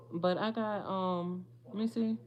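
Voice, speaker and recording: A woman, her voice slightly hoarse, chatting casually and rambling to viewers on a livestream. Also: medium pitch, slow pace, mellow. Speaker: vlogger.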